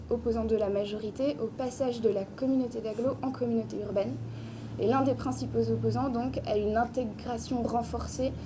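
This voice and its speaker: High-voiced woman presenting, noisy venue. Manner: structured, explanatory, academic. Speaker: conference speaker presenting research. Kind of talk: prepared public presentation of a political study. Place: public book presentation in Paris venue. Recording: clearly noisy live venue with rumble.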